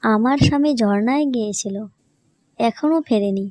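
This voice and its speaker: A woman's voice: fairly high pitch, moderate pace, darkish tone, dry recording, close to an everyday voice. A woman voicing a rich man's pushy, anxious wife in a cartoon storybook, with an urgent manner. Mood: anxious, pleading.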